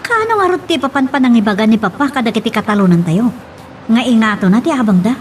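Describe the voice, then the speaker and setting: A woman's voice: fairly high pitch, lively intonation, fast, slight background noise, natural voice. A woman playing the inquisitive grown daughter of landowners in an audio drama. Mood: curious. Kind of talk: Ilocano audio drama acting.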